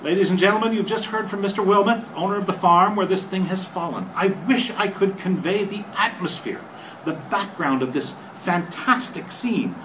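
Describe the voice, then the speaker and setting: An excited radio reporter, acted by an older man in an old radio play, high and bright-voiced. He narrates urgently and formally as an eyewitness, picked up from a distance with some noise. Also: moderate pace. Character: excited male radio reporter describing a crash scene live. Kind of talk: 1930s radio drama acting, read from a script. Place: hotel conference room, panel table. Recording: noisy phone-camera recording from a distance.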